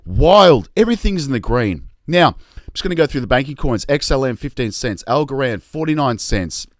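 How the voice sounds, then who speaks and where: Man, Australian accent, vlog host, excitable, chatty, tired, voice going, dry close sound. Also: mid-range voice, normal speed, no echo. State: tired, losing his voice. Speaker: crypto YouTube host. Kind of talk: casual investing vlog chat.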